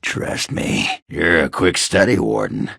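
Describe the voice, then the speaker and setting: An actor playing a gruff, crude, boastful dwarf berserker in a fantasy video game, with a rough, husky voice and a blunt, swaggering manner. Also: male voice, extremely low pitch, big pitch swings, unhurried, a bit bright, dry recording, clean studio sound, full character voice. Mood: boastful, instructive.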